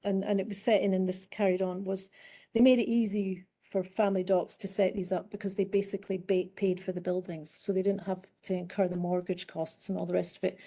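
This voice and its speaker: A middle-aged woman with a British accent, warm and mellow in tone, answers as a panel guest. She talks in a thoughtful, halting way, restarting phrases as she works out her point. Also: medium pitch, level tone. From United Kingdom. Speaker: guest expert in public health.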